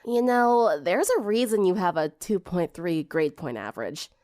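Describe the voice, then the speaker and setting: A woman's voice: lowish voice, expressive, normal speed, a little mellow, lightly acted. A woman voicing a confident, encouraging teenage girl band leader with a casual, wry manner in an audiobook story. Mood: teasing.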